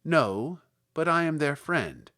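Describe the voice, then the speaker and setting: A man voicing a kindly tiny old woman who speaks calmly and sweetly, as an audiobook character. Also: fairly high pitch, slow pace, heavily acted. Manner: calm, sweet and gently matter-of-fact.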